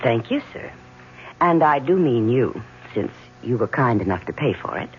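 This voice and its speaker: A bold secretary, muffled radio sound. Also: female voice, rumbling low, very animated, normal speed. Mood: coy. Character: beautiful, bold secretary and mistress of the boss. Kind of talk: 1970s radio drama acting. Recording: muffled, faintly noisy old radio broadcast.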